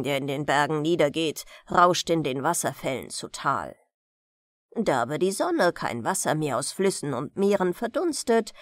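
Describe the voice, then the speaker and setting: Woman, fairy-tale audiobook narrator, expressive, slow pace, dry studio-like sound. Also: on the low side, dry recording. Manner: expressive, unhurried storytelling. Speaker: audiobook reader of fairy tales.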